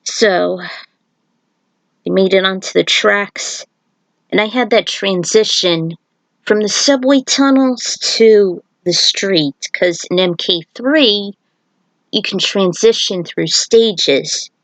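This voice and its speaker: A woman's voice: medium pitch, slow pace, no echo, poor audio. A woman reading her own fan fiction aloud, breaking into chatty, casual asides about it. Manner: chatty, self-commenting asides.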